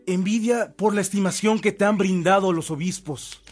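An actor playing a loyal, supportive friend in a radio drama, speaking in an emphatic, oratorical way. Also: male voice, high voice, normal speed, soft background music. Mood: earnest, indignant. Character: Enrique Guillén, loyal, supportive old friend of a priest. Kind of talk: Spanish-language radio drama acting.

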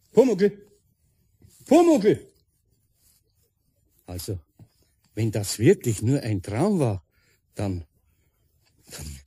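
A man's voice: medium pitch, warm tone, slightly rough, no echo, full character voice. A man playing a good-natured, grumbly, bewildered older master carpenter with a Bavarian accent in a radio play.

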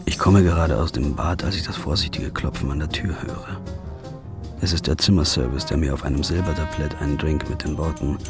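A man playing a weary, haunted first-person narrator in a radio drama, with a dark, brooding delivery. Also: a bass voice, brisk. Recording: music underneath.

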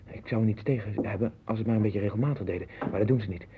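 A man's voice: on the low side, racing, lightly acted. An actor playing an anxious, obsessive man who rambles and broods in a 1960s Dutch radio play. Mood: brooding, obsessive. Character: anxious, obsessive man sinking into his own strange world. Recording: tinny, band-limited 1960s radio recording with hiss.

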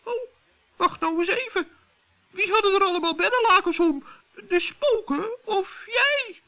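Little male forest gnome: extremely high, chirpy, kindly, curious, gently scolding; muffled old radio-play sound. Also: lively intonation, measured pace, heavily acted. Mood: eager, inquisitive. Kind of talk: children's radio play acting. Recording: dull old radio recording.

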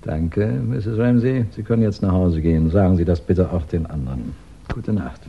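An actor playing a composed, probing police inspector in a 1960s German radio crime drama, deliberate and dryly polite. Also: male voice, low voice, vocal fry, husky, heavily acted. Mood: formal, grave. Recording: dull vintage 1960s radio recording.

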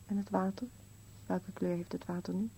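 Female actor as querulous, uneasy old wife in a radio play: gravelly, muted tone, short insistent questions, often near whisper. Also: lowish voice, flat delivery, normal speed, mellow, slight background noise, close to an everyday voice, poor audio. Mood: uneasy, questioning. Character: anxious, querulous old wife, cold and confused. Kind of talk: absurdist radio play dialogue.

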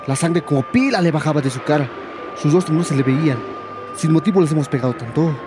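A man's voice: fairly high pitch, animated, fast talker, noisy background, natural voice. A man with a Bolivian accent playing an uneasy, easily swayed teenage gang follower in a radio drama. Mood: remorseful.

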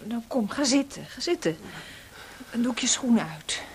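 An actress playing a scolding yet tender wife in a Dutch radio drama, chiding and fussing, often in breathy half-whispers. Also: female voice, fairly high pitch, lively intonation, normal speed, slight background noise.

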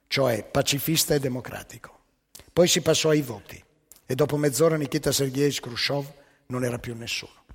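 A man telling satirical anecdotes as a stage monologue, with dramatic pauses and emphatic delivery. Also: mid-range voice, husky. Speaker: stage storyteller. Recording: live theatre hall with long reverb.

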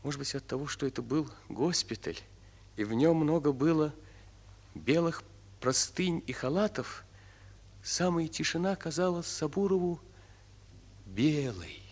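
A man narrating a radio drama in a solemn, deliberate, theatrical style. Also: fairly high pitch, expressive, darkish tone, a little hiss or hum. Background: music.

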